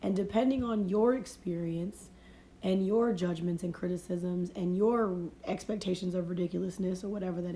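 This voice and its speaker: Mellow-voiced woman coaching with a cold. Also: medium pitch. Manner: conversational, reflective, repeats and rephrases. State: has a cold, voice fading. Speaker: life coach. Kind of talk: motivational casual talk. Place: home livestream. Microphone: phone livestream.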